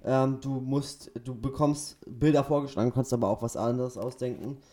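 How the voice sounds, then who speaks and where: A young man co-hosting a podcast in casual chat, telling stories haltingly and often correcting himself. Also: medium pitch, steady tone, mellow. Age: teenager or young adult. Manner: halting, self-correcting storytelling. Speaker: podcast co-host.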